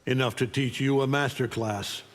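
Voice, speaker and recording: A man's voice: medium pitch, fairly even intonation, normal speed, a little hiss or hum, close to an everyday voice. Middle-aged superhuman tyrant for a fighting game, played by a man: condescending, menacing, ringing in a big reverberant space. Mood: cold, domineering. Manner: imperious, threatening, condescending. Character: arrogant, menacing middle-aged alien superhuman conqueror in a cape. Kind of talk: video game fight-intro dialogue. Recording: long hall-like reverb.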